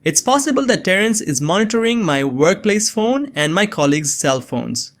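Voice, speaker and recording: A man playing a nerdy, anxious young man on the run, narrating a suspense story in a measured, earnest way. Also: high voice, sing-song. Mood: tense, measured. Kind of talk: first-person scripted narration of suspense fiction. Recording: music behind the voice.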